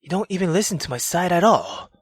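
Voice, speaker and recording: Woman doing a low, weary, irritated husband. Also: lively intonation, quick pace, slightly rough, no room sound. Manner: low, restrained, exasperated. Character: irritated, weary aristocratic husband defending himself.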